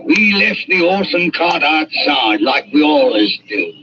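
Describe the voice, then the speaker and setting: A brash grave robber. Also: male voice, on the high side, big pitch swings, measured pace. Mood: gruff. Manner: brash, gruff. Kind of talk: 1940s radio acting. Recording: muffled old radio recording with hiss.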